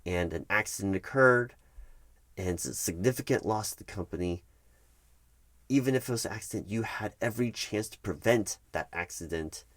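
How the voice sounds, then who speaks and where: Low-voiced, officious HR manager played by a man. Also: fairly bright tone, no echo, lightly acted. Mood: firm, officious. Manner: halting, measured, bureaucratic. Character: detached, officious male corporate HR manager, patronizing. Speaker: human resources manager. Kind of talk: one-sided ASMR roleplay.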